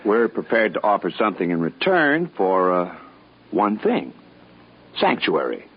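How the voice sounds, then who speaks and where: Earnest American diplomat, lively and husky. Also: male voice, lowish voice, a little slow, heavily acted. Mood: earnest, polite. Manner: formal, eager, persuasive. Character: earnest, polite, persistent self-styled diplomat. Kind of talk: 1950s radio drama acting. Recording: dull vintage radio recording.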